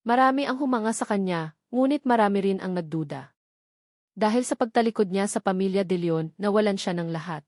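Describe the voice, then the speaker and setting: A woman narrates a scripted love story in a dramatic storytelling style. Her voice sits at a medium pitch, recorded very dry and close, with no room around it. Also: fairly quick, a little mellow, no room sound.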